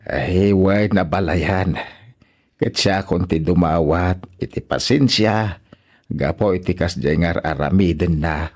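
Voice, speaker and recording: A man's voice: low voice, slow, darkish tone, heavily acted. A man with an Ilocano accent playing a stern, indignant elderly father who scolds and repeats himself in a radio drama. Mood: apologetic, grave. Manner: scolding, emphatic, repeats words.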